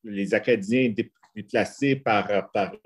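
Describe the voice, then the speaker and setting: A man's voice: on the low side, slow, breathy edge. A man from northern Alberta with a Franco-Albertan French accent, an anthropology professor speaking on a video call panel, rambling with many restarts as he tells stories. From northern Alberta, Canada.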